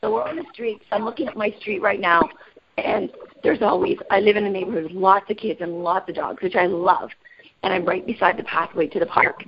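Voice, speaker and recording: A woman with a raspy voice today, leading a motivational group call in an upbeat, energetic way and asking the group questions. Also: mid-range voice, a little fast, highs cut off, background noise. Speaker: team call leader.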